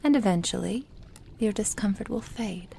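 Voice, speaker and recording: A woman plays an ageless witch who dotes possessively on her apprentice in an ASMR roleplay. Her whispery voice is soft and dark, with a heavy creak underneath. She speaks tenderly and intimately, over faint background noise. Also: medium pitch, natural voice. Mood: gently encouraging. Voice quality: breathy, whispery. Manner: slow, tender, intimate delivery. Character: doting, possessive immortal witch mentoring her beloved apprentice.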